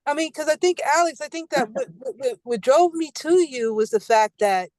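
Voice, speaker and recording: A woman hosting a podcast, speaking in an emphatic, animated casual conversation. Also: high-pitched, normal speed, no room sound, rough recording. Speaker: podcast host.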